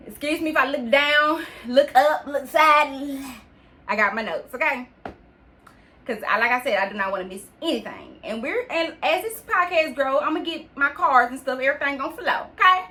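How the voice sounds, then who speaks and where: Podcast host, late-twenties woman, fairly high bright voice, chatty and direct with listeners. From New York. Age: 20s. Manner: chatty, addresses listeners as y'all and sis. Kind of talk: personal storytelling podcast.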